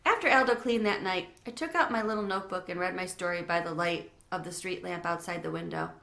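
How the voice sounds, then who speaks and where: A woman's voice: lowish voice, brisk, creaky, fairly bright tone. A woman reading a children's storybook aloud as narrator. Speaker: read-aloud reader. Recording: echoey room.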